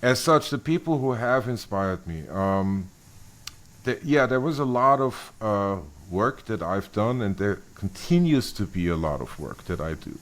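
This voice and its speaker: A middle-aged man from Austria, a bassist being interviewed, speaking thoughtfully with many restarts and fillers. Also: animated, a little slow, a bit bright. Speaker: bassist guest. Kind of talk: interview conversation.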